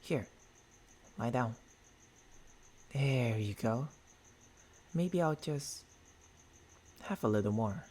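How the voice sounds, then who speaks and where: A man plays a courteous vampire boyfriend in an intimate ASMR roleplay. His voice is husky and breathy at a medium pitch, close on a binaural mic. He speaks haltingly and formally, tender and apologetic, with hunger underneath. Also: animated, darkish tone. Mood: soothing, apologetic. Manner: halting, formal, soothing endearments. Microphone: close binaural microphone.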